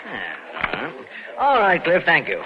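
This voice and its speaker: An actor playing a genial, unsuspecting older bank boss, muttering and avuncular, in an old radio drama. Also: male voice, mid-range voice, huge pitch swings, takes it slowly. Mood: genial, distracted. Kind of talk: 1940s-50s radio drama acting. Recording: muffled, noisy old radio broadcast.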